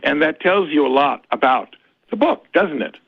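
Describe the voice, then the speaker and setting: Guy calling in over a thin phone line, kinda high pitched, asks stuff slowly. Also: expressive, husky, no room sound. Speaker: caller. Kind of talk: phone-in question. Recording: tinny dry phone line.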